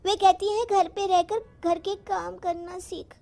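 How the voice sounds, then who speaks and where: A woman's voice: extremely high pitch, fairly even intonation, a little slow, vocal fry, mellow, voice pushed up, exaggerated voice. A woman voicing an earnest, polite young schoolgirl in a moral story, with a pleading way of talking.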